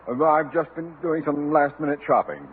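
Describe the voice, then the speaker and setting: An actor playing a pompous, blustering middle-aged school boss in a 1950s radio comedy. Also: male voice, fairly high pitch, lively intonation, moderate pace, lightly acted. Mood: blustering, defensive. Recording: tinny old radio broadcast with hiss.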